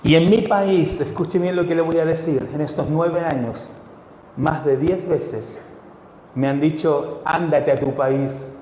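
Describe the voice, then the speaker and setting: Man preaching, high-pitched, mixed Latin American accent, fervent, recorded in a reverberant church hall. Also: a little slow, slight background noise. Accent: mixed Ecuadorian, Chilean and Mexican Spanish. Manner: preaching with rhetorical questions, rising to fervent shouts. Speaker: pastor. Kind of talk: sermon. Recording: echoey hall with long reverb.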